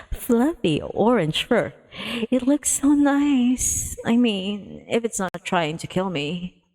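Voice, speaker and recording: A woman livestreaming a visual novel, casually reading narration aloud with a playful manner. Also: on the low side. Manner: playful, excitable in asides.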